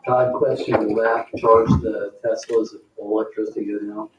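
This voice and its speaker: Monotone low-voiced American man, very noisy. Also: normal speed, dull audio. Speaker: town council member. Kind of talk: meeting talk. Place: town council meeting room. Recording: very noisy, echoey, distant room pickup.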